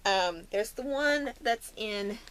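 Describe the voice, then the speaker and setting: A woman's voice: medium pitch, lively intonation, slow, fairly bright tone, slight background noise. A woman chatting casually and unscripted as a vlogger, retelling stories in a playful, slangy way with frequent asides. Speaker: vlogger recounting favourite fairy tales. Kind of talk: casual unscripted chat to camera.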